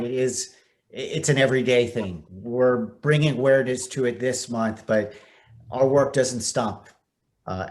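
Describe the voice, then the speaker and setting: An American man presents at a virtual community event as its host. His voice sits at a middle pitch, and he is speaking over a video call. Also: a little mellow. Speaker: event host, news anchor.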